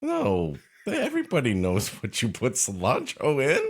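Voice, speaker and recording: A man's voice: medium pitch, huge pitch swings, moderate pace, slightly rough. A man co-hosting a podcast, riffing comically, laughing often and swearing casually. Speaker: podcast co-host. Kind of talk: casual comedic banter.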